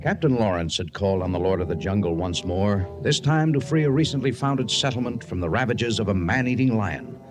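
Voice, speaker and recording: A man's voice: on the low side, animated, brisk, few pauses, lightly acted. A man playing the storyteller of a 1950s radio jungle adventure, literary and suspenseful. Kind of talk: 1950s radio drama narration. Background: dramatic music.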